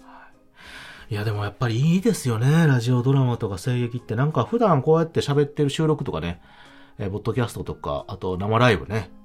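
A man with a Kansai accent hosting a casual solo talk podcast, chatting in an animated, emphatic way. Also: mid-range voice, fast talker. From Kansai, Japan. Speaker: podcast host. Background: background music.

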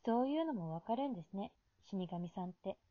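A woman's voice: mid-range voice, expressive, fairly quick, dry recording, highs cut off, lightly acted. A woman acting in an amateur radio drama as a weary, self-doubting young woman, speaking in a hesitant, subdued way. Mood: softly curious.

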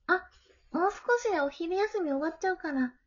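A woman playing a gentle, studious middle-school girl in an amateur voice drama, friendly and polite. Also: extremely high pitch, lively intonation, normal speed, lo-fi sound. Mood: friendly, kind.

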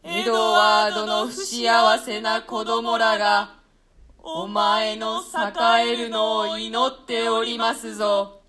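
A woman's voice: fairly high pitch, slow pace, over a noisy crowd. A woman voicing the ghosts of two murdered young princes in a radio play reading, in a raised, chanting voice. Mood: tender.

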